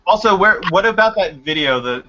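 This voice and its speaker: A young man hosting a casual group voice chat, talking quickly and a little scattered while he works on his computer. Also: high-pitched, animated. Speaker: stream host. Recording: lo-fi mic with faint noise.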